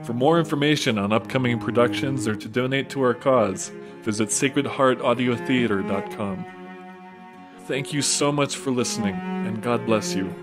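A man's voice: medium pitch, normal speed. A man narrating a scripted religious audio drama as storyteller. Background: music.

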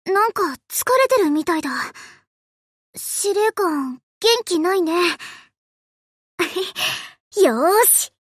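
A woman's voice: extremely high pitch, expressive, very slow, long pauses, dry recording, clean studio sound, full character voice. A woman voicing a cheerful, affectionate, boyish young ship girl in an anime game, with a playful, casual tomboyish way of talking. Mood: playful, caring. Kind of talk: anime game character voice lines.

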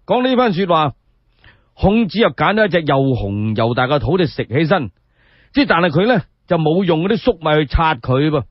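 Animated, husky Cantonese male storyteller. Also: fairly high pitch, moderate pace, no room sound, highs cut off. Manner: colloquial, dramatic. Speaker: solo storyteller. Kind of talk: animated oral storytelling of historical tales for radio.

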